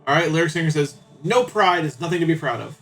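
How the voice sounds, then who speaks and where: A man's voice: on the high side, quick pace, a bit bright, faint music behind. A man doing casual live game commentary, chatty and playful as he talks to his viewers. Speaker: live streamer.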